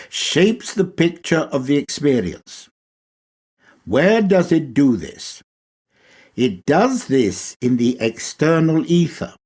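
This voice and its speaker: A man reads a lecture aloud in a husky, somewhat rough voice. His delivery is slow and instructive, with deliberate emphasis on phrases and a lively rise and fall in pitch. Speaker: reader of a lecture. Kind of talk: read-aloud esoteric lecture.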